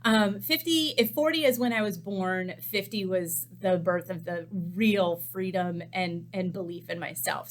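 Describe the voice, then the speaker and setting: A woman in her fifties, a chef, talks candidly to her webcam from a small cooking studio. Her voice sits at an ordinary middle pitch, and she fills her pauses with frequent ums. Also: fairly bright tone, a little hiss or hum. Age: 50s. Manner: chatty and candid, many ums. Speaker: chef hosting a cookbook series. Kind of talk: casual chat to camera.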